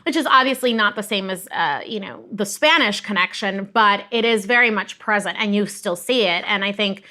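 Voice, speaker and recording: Woman, bright forceful voice, conversational expert guest who explains with emphasis and a teacherly bent. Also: medium pitch, moderate pace, few pauses. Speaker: guest expert, museum director of education. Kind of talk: explanatory conversational history telling.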